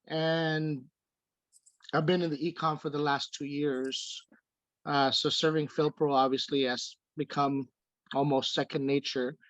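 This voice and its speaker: A man's voice: a little high, dry recording, poor audio. Filipino-born man talking on a video call. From Philippines. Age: 40s. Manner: hesitant, many fillers and restarts. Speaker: treasurer of a community organization. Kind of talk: casual video call remarks. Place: home room.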